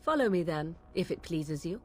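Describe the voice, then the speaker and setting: A woman's voice: on the low side, animated, normal speed, a little mellow, faint music behind. An actress playing a haughty young witch who speaks coldly and curtly. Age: young adult. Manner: cold, clipped, disdainful.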